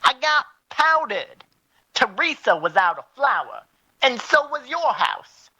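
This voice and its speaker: A woman playing a lively, aggrieved young woman in an audio drama. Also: high-pitched, slow, breathy edge, no echo, heavily acted, poor audio. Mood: indignant. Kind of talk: audio drama acting, outtakes.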